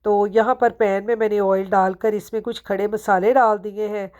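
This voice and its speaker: A woman's voice: medium pitch, little pitch movement, moderate pace, a little mellow. A woman from Pakistan, a home vlogger narrating her day chattily to viewers while her throat is sore. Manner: talks to viewers, asks them questions. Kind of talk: chatty voice-over narration of a daily routine.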